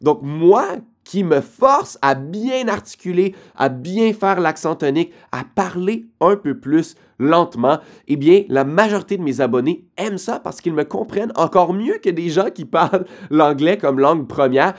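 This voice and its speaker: A man's voice: a little high, lively intonation, normal speed. A man with a Québécois French accent, casually addressing viewers as a vlogger with direct, emphatic advice. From Quebec, Canada. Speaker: online business creator.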